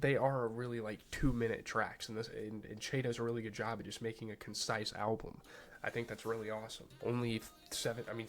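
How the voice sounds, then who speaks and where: A young man with a stuffy, nasal voice as he hosts a casual album review, talking loosely with lots of fillers and restarts. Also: medium pitch. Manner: loose and excited, many fillers and repeated starts. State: congested, nasal. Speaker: music reviewer, channel host.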